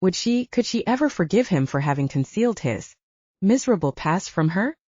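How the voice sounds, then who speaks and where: A woman's voice: mid-range voice, big pitch swings, a little fast, darkish tone, dry recording, dull audio. A woman reading literary fiction as a scripted audiobook narration, moving between story and dialogue.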